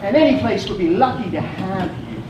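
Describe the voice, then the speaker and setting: Actress as frank friend, echoey stage. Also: female voice, medium pitch, animated, a little fast, dull audio, lightly acted. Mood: warm, frank. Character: supportive, blunt friend chatting with the driver. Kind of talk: live one-act stage play dialogue. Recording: echoey distant stage, audience noise.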